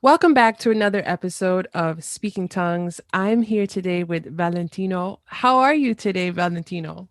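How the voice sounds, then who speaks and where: A woman hosting a language podcast, reading her intro and then chatting with a guest in an eager, excited way. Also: fairly low pitch, normal speed, hardly any pauses, no room sound, clean studio sound. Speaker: podcast host. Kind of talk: podcast interview and scripted intro.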